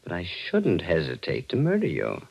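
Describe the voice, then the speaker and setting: Sinister, controlling villain with swooping intonation. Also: male voice, deep voice, huge pitch swings, creaky, slight background noise, full character voice. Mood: menacing, obsessive. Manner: formal, deliberate, menacing. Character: sinister obsessed murderous man, menacingly polite, coolly controlling. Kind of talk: 1940s radio mystery acting. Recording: dull old radio recording.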